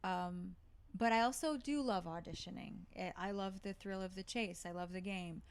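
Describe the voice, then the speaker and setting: A woman's voice: medium pitch, moderate pace, clear, resonant tone. A woman actor talking casually in an interview, thinking aloud with many fillers and restarts. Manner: thoughtful, with frequent fillers and restarts. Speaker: actor and guest.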